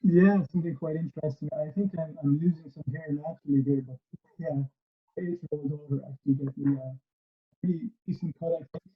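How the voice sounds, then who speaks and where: A man with a husky voice chatting quickly and offhandedly on a webinar, sitting far from his call mic. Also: on the high side, steady tone. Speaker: presenter. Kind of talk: casual webinar chat. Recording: distant muffled call audio.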